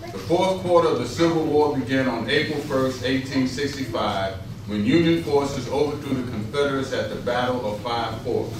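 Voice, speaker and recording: A male narrator reads scripted history formally for readers theater. His voice has an average pitch and declaims from afar. The room is very echoey and clearly noisy. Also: moderate pace, far from the mic. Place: echoing room at a stage rehearsal.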